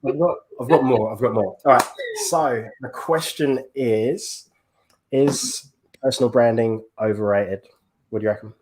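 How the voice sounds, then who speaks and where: A man's voice: mid-range voice, unhurried, a little mellow, a little hiss or hum, poor audio. An upbeat Englishman hosting a live podcast over a video call, enthusiastic and fond of saying "absolutely". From England. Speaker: podcast host. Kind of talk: casual live-stream chat.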